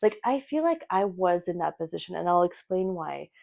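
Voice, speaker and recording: A woman in her thirties, a native Russian speaker, co-hosting a casual podcast from her apartment couch, animated and quick to laugh. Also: on the low side, vocal fry. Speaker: podcast co-host. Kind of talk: casual chat. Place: living room couch in an apartment.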